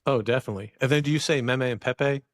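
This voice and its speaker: A man's voice: mid-range voice, quick pace, no room sound, clean studio sound. A man from Maine hosting an interview, friendly and rambling with many ums and restarts. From Maine, USA. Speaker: podcast host. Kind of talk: casual interview.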